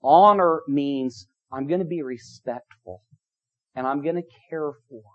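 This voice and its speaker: Male preacher, middle-aged, emphatic sermon, higher-pitched voice, muffled lo-fi sound. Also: unhurried. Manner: emphatic, repetitive preaching with rising and falling volume. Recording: dull lo-fi sound.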